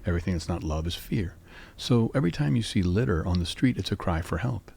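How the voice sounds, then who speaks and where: A man in his fifties from North Carolina, hosting a rambling solo monologue in a sleepy, calm and even voice. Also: fast talker, gravelly, husky. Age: 50s. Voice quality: low, sleepy voice. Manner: calm, musing, even delivery. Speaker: podcast host.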